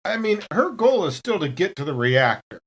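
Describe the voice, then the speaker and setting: A woman's voice: on the low side, big pitch swings, moderate pace, husky. A woman talking casually as a player in a tabletop role-playing game.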